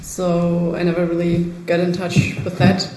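A woman's voice: lowish voice, monotone, unhurried, dark tone. Young woman speaking in an echoey room. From Germany, born in Ukraine. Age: young adult. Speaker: sociologist and peace activist interviewee. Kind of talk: personal account in an interview. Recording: echoey room with faint noise.

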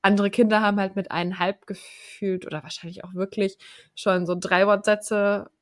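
A woman in her thirties co-hosts a podcast and tells a personal story about raising her child. She talks in a chatty, colloquial way and laughs now and then between phrases. Her voice sits at a middle pitch for a woman. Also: flat delivery, moderate pace. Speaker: podcast co-host, mother.

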